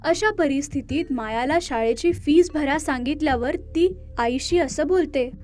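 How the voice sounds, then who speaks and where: High-pitched woman narrating a story. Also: measured pace, darkish tone. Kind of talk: scripted narration of moral tale.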